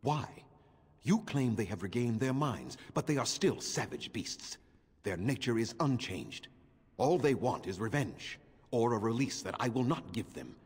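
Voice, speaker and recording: An actor playing a proud, bitter elven clan keeper in a fantasy game, formal and solemn, with a husky voice. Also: male voice, mid-range voice, expressive, unhurried, a little mellow. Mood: cold contempt. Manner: formal, solemn, theatrical phrasing. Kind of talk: dramatic fantasy game acting. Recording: long hall-like reverb.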